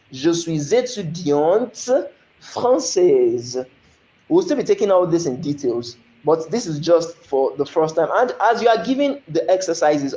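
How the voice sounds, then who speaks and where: A man's voice: fairly high pitch, mellow. Echoey Nigerian man teaching French. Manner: explanatory, asks "you understand?" often, spells out French slowly. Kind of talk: recorded online lesson.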